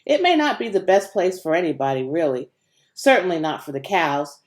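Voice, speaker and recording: A woman's voice: on the low side, sing-song, normal speed. Woman voicing a somber, sighing grown man. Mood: gloomy. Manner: somber, mumbling. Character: gloomy, sighing grown man, slaughterhouse office boss.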